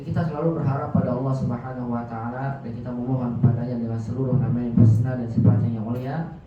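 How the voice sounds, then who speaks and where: A man's voice: medium pitch, slight background noise. Man teaching in an echoey mosque. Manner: formal, recites Arabic prayers between Indonesian. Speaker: Arabic teacher. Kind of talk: religious class opening with prayers. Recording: echoey room, distant mic, muffled.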